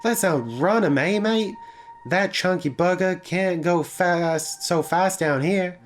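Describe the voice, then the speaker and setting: A man's voice: high-pitched, takes it slowly, slight background noise, pitched above the performer's own voice. A man voicing a brash, reckless woman who drives a taxi in a visual novel, offhand and slangy. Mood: excited, wicked. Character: brash, reckless, thrill-seeking female Australian taxi driver. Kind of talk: character voice in a visual novel read-aloud.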